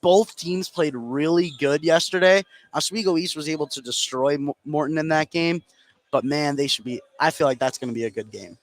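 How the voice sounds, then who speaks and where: A man's voice: fairly high pitch, moderate pace. A man working as a color commentator on a basketball broadcast, giving his opinions in an informal, conversational way. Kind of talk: conversational sports analysis.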